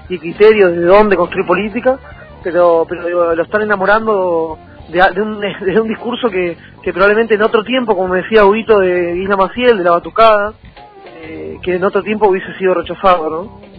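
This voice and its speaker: A young man with a high voice and a Rioplatense Argentine accent speaks as an interview guest over a thin phone-like line. He halts, repeats and rephrases as he builds his points. Music plays faintly behind him. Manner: halting, repetitive, circling back to rephrase. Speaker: interview guest, local political candidate. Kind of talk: conversational political interview.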